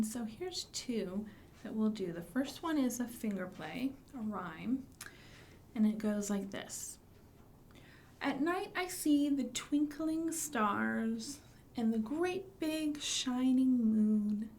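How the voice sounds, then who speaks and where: A woman's voice: mid-range voice, takes it slowly, darkish tone, a little hiss or hum. A woman hosting a children's storytime, explaining books and rhymes simply for young listeners. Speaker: children's librarian, storytime host.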